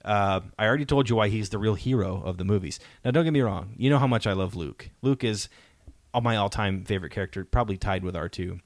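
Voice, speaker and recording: A man with a fairly low voice talks as a podcast host in a casual fan discussion. He is keen and emphatic, often checking that listeners follow. The sound is dry, with very little room on it. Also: quick pace, no echo. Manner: enthusiastic, emphatic, seeking agreement.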